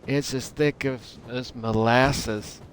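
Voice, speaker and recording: Male game streamer, mid-pitched, unhurried, hamming up a folksy Southern US drawl with joking asides. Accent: put-on thick Southern US, Texan drawl. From United Kingdom. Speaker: game livestreamer. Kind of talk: playful rambling game stream commentary. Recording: noisy, game battle sounds behind.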